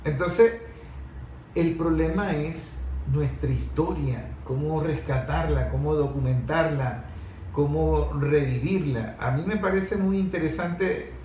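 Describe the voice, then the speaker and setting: A man's voice: fairly high pitch, slow pace, mellow. A man hosting an opinionated political conversation, speaking emphatically with repetition and rhetorical questions. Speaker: host of a history and politics programme. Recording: roomy, distant microphone, background noise.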